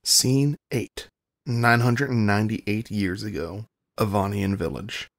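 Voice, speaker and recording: Gravelly male scene announcer. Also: lowish voice, fairly even intonation, takes it slowly, a bit bright. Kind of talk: scripted narration.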